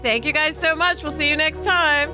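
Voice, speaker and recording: A woman's voice: high-pitched, steady tone, moderate pace, music in the background. A woman co-hosting a podcast in casual chat, reflective, often ending thoughts with a rhetorical "right?". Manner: reflective, asks rhetorical questions. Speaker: podcast co-host.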